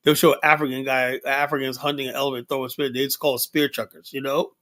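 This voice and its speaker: A middle-aged man from Liberia hosting a casual livestream discussion, rambling and animated, full of fillers and "you know?" tags. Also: mid-range voice, fast. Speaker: livestream host.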